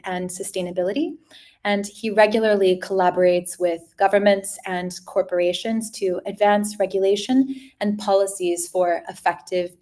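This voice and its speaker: A woman's voice: medium pitch, darkish tone. A woman hosts a live online webinar. Her introductions are upbeat and scripted, with an occasional filler slipping in. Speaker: webinar host.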